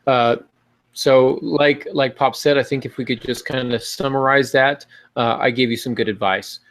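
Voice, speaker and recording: Creaky-voiced man hosting a casual podcast. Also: mid-range voice, fairly even intonation, moderate pace, fairly bright tone. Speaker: podcast host.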